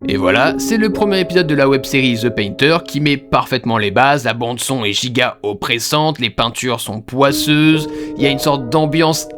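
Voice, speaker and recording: A man's voice: mid-range voice, normal speed, hardly any pauses. A man giving casual, slangy horror commentary as a YouTube host, talking conversationally. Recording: music behind the voice. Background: music.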